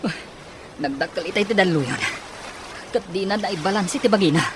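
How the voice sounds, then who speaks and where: A man's voice: high voice, a little fast, noisy background, natural voice. A man acting an easygoing young friend in an Ilocano radio drama, with an Ilocano accent. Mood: frantic.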